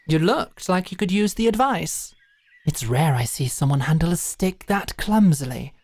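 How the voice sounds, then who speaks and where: A woman's voice: low voice, lively intonation, normal speed, darkish tone, slightly rough, no room sound. A woman playing a stern, proud female knight in a fantasy audio drama, with a dry, commanding, taunting manner. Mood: brisk, teasing. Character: stern, proud woman knight, brusque and teasing sparring mentor.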